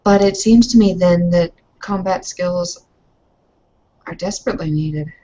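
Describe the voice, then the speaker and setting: Woman, lower register, unhurried and halting, roleplay player in casual game talk, muffled lo-fi audio. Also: mellow, a little hiss or hum. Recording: dull, lo-fi voice recording.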